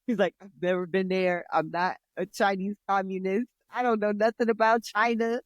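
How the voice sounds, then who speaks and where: A woman's voice: medium pitch, steady tone, normal speed, no echo, lo-fi sound. An American woman co-hosting a podcast, chatting casually in a blunt, slangy way. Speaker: podcast co-host. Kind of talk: casual girl-talk chat.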